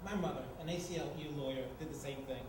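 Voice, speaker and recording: Male actor as sardonic, teasing housemate, higher-pitched voice, live comedy reading, far from mic with heavy room echo. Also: fast talker, close to an everyday voice. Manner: sardonic, needling. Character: wry, teasing, unbothered male housemate, messier one. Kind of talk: staged screenplay reading, comedic. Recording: distant, very echoey room, noisy ambience.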